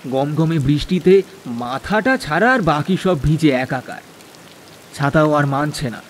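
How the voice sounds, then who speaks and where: A man's voice: fairly high pitch, a little slow, a little hiss or hum. A man narrating a scripted horror cartoon story.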